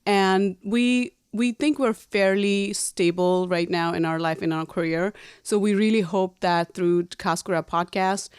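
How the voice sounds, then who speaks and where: A woman in her thirties from Nepal co-hosts a relaxed podcast in a slightly low, smooth, clear voice. She talks in a chatty way, laughs easily and restarts her sentences as she goes. The sound is dry and close. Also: dry recording. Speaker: podcast co-host. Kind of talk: casual conversational podcast chat.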